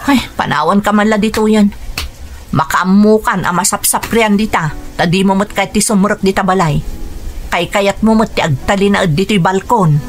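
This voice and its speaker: A woman's voice: medium pitch, normal speed, over music, close to an everyday voice. A woman with an Ilocano accent playing a hard-working, exasperated wife and mother in a radio drama, scolding in a plain-spoken way. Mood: weary.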